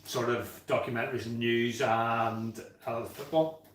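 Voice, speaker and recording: A man's voice: medium pitch, steady tone, measured pace. A man, hosting a casual home-brewing livestream chat and reading out viewer comments. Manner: chatty, reads out viewer comments, laughs easily. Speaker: livestream host. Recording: echoey room, distant from mic.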